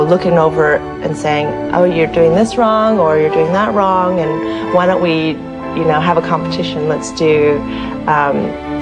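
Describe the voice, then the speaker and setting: A woman's voice: low voice, rough recording. A woman being interviewed, casually telling stories and acting out remembered lines. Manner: relaxed storytelling, acts out remembered lines. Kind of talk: casual recollection. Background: music.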